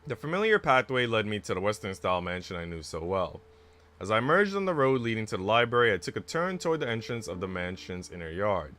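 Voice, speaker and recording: A man livestreaming a visual novel, moving between casual, chatty musing and expressive story narration. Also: on the low side, fast talker. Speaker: streamer reading a visual novel aloud. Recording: music playing behind the voice. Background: background music.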